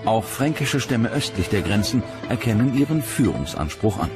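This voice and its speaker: Low-pitched male documentary narrator over music. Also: sing-song, normal speed. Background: music under the narration.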